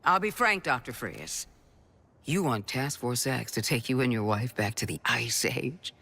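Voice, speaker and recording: An actor playing a blunt, commanding task force boss in a video game, with a husky, gravelly voice and curt authority. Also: male voice, medium pitch, animated, a little slow, bright, forceful tone, exaggerated voice. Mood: hard, businesslike.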